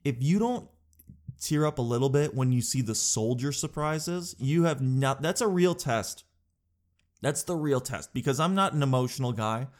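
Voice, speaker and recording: A man's voice: medium pitch, lively intonation, normal speed. A man talks casually to his camera, his nose stuffed up from crying. He rambles in a chatty, self-mocking way and keeps tossing in "dude.". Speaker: vlogger.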